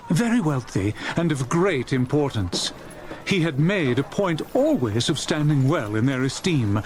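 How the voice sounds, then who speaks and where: A man narrating a dramatised classic story in an expressive, scripted audiobook style. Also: mid-range voice, a little hiss or hum.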